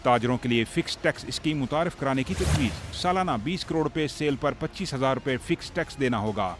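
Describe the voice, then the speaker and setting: A man's voice: mid-range voice, quick pace, background noise. A man with a Pakistani accent giving a scripted news report in voice-over as a reporter.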